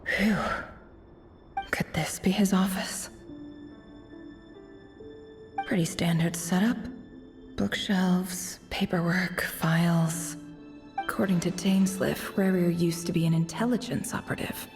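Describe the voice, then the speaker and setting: A woman playing a poised, confident businesswoman in a video game, composed and measured, with a wry edge. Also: on the low side, fairly even intonation, faint music behind. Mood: intrigued. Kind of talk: video game character voice acting.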